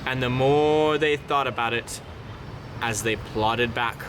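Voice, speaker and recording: A man's voice: mid-range voice, takes it slowly, background noise. A man reading a children's picture book aloud in a theatrical way, drawing words out dramatically. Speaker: storyteller.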